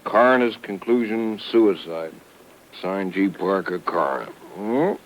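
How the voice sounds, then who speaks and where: A man's voice: low-pitched, lively intonation, unhurried. An American man playing a folksy, matter-of-fact small-town Texas sheriff in a 1950s radio drama. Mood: reading, matter-of-fact. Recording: muffled old radio recording, faint noise.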